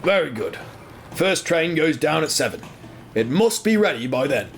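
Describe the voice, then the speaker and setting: A man's voice: fairly high pitch, big pitch swings, moderate pace, gravelly, a little hiss or hum. A man playing a stern, impatient quarry foreman in an audio drama, curt and overbearing. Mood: stern, slightly softened.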